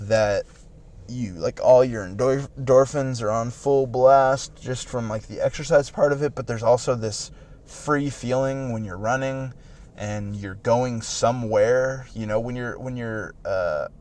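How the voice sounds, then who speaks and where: A man's voice: mid-range voice, unhurried, darkish tone. A man in his late twenties rambling in casual podcast chat, full of "like" and restarts. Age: late 20s. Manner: rambling, filler-heavy with "like" and restarts. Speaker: podcast co-host.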